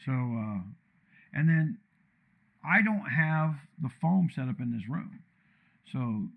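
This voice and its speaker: A man with a deep, commanding voice that sits somewhat high rants bluntly and loudly, wandering from point to point. He sounds like a casual live-streamer talking off the cuff. The sound is thin and tinny, like a phone line. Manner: blunt, loud, rambling. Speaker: streamer tuning his microphone. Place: untreated room with echo and a computer fan.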